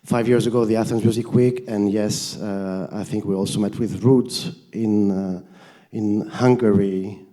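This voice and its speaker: A man from the Mediterranean introduces himself on a panel in an informal, rambling way. His voice is mellow and a little on the low side. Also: steady tone, measured pace. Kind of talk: informal spoken self-introduction.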